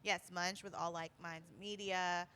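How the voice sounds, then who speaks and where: A woman with a low but bright, forward voice co-hosts an arts talk show. She recaps an event in casual conversation, expressive and emphatic as she goes. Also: a little slow, smooth. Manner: expressive, emphatic, repeating words for agreement. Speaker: co-host of a community arts show.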